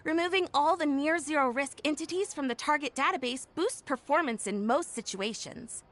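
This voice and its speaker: A woman voicing an enthusiastic young female tech inventor in a video game, with an upbeat pitchman's patter. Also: moderate pace, a bit bright. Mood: explaining. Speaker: game character.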